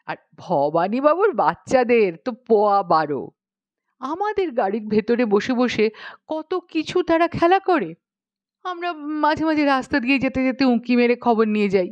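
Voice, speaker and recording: A woman narrating a humorous short story as an audiobook, expressively, with measured dramatic pauses between phrases. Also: on the high side, expressive, no echo, highs cut off.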